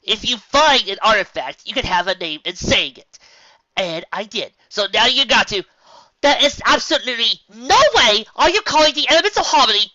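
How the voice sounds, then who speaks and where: A woman's voice: medium pitch, very animated, normal speed, pushed and bright, no room sound, pitched above the performer's own voice. A woman voicing a refined, fussy female unicorn with a posh, theatrical manner. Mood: indignant. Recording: dull lo-fi recording.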